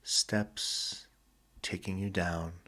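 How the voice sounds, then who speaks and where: Deep voiced guy doing sleep hypnosis, really slow n soothing, kinda breathy husky, pauses between phrases. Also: fairly even intonation, bright, forceful tone. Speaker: hypnotist, guided session narrator. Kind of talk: sleep hypnosis, slow guided meditation narration.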